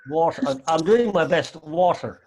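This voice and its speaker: A man's voice: fairly high pitch, expressive, moderate pace, a little mellow. A man from the west of Ireland with an Irish accent, encouraging students as a guest English teacher on an online class call. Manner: encouraging, emphatic.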